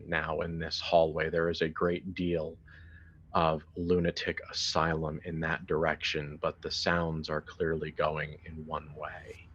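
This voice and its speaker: A man narrating a horror tabletop game as game master in a deliberate, dramatic, halting way, with improvised phrasing. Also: very deep voice, fairly bright tone, slightly rough, muffled recording.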